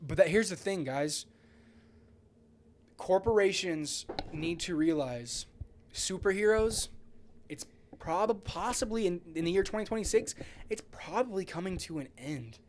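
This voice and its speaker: Male podcast host, slightly high voice, excitable, slangy, sweary, rambling casual chat. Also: a little slow, a little hiss or hum.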